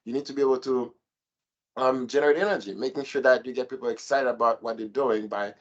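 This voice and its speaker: A man lays out his points in a methodical order, like a seasoned manager briefing a team. He speaks at a middle pitch over a video call. The audio comes through slightly dull and muffled. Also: steady tone, fast talker. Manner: structured, methodical explaining. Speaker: program manager panelist. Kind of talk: explanatory panel answer.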